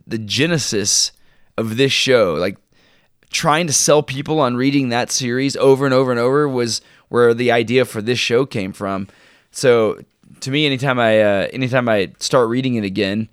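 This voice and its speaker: A man co-hosting a podcast, chatting casually in an informal, halting way and sounding enthusiastic about his favorites. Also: medium pitch, clear, resonant tone, no room sound, studio quality. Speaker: podcast co-host. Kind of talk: casual chat, recommending shows and books.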